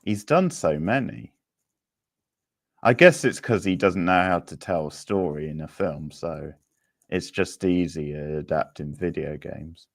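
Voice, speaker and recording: A man with a deep voice hosts an informal quiz. He takes his time, rambling casually and thinking out loud. The sound is dry, with very little room to it. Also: a little slow, no echo. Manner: chatty, rambling, thinking aloud. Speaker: quiz host. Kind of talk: casual live quiz hosting.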